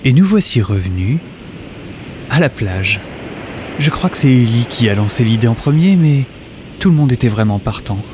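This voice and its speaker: Lively young hero, acted by a man. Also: medium pitch, normal speed, pauses often, noisy background, natural voice. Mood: warm, reflective. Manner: conversational, addresses listeners directly. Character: upbeat young male hero telling his own adventure. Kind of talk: first-person audio drama narration, casual and chatty.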